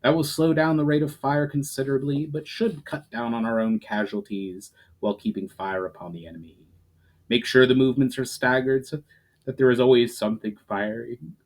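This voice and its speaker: A man's voice: mid-range voice, steady tone, normal speed, close to an everyday voice. Man voicing an aging, commanding army general. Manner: authoritative, giving orders. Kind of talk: dramatic audiobook character reading.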